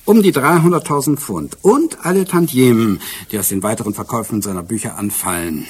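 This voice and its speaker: Police inspector , male actor, radio drama: mid-pitched, slightly rough voice, businesslike, methodical, dryly teasing. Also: brisk, close to an everyday voice. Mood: methodical, wry. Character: brisk, wry male police inspector in Britain. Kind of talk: German radio drama acting.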